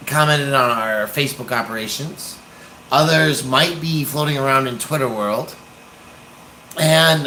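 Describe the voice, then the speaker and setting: An American man rambling casually on a livestream, hesitant and pausing often as he talks. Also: medium pitch, sharp tone, a little hiss or hum, low-quality recording. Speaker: livestream host, activist.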